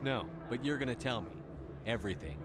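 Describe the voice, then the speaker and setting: An actor playing a tough, terse, no-nonsense military commander in a video game. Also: male voice, mid-range voice, expressive, fairly quick, music in the background, natural voice. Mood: stern, demanding. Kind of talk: video game character acting.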